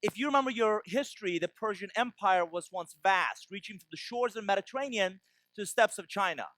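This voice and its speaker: A man's voice: high voice, lively intonation, a little fast, fairly bright tone, no room sound. A man from Iran giving a business keynote with jokes, often asking the audience "right?". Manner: tags lines with "right?" to the audience. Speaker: keynote speaker.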